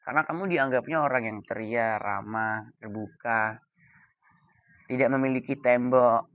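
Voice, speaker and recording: A young adult man giving an informal coaching talk, deliberate and emphatic, pausing between key words. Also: mid-range voice, no echo, lo-fi sound. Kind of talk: informal explainer talk to camera.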